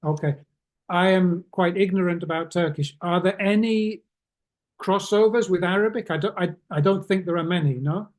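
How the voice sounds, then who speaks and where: Slow-questioning male English teacher. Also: sits high. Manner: slow, hesitant, encouraging questions. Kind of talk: online class discussion.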